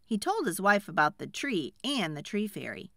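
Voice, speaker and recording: A woman reading a children's storybook aloud in an expressive, deliberate storytelling style. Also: medium pitch, no room sound, studio quality.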